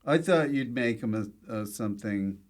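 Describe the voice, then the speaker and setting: A man's voice: mid-range voice, lively intonation, normal speed. A man hosting a casual comedic interview podcast, giving short, playful prompts. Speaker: podcast host.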